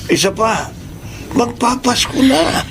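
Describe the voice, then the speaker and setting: An older man playing an elderly father who gently scolds his grown daughter in a Tagalog radio drama, expressive and creaky. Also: very high voice, slow, full character voice. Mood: admonishing. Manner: admonishing, expressive. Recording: noisy background ambience.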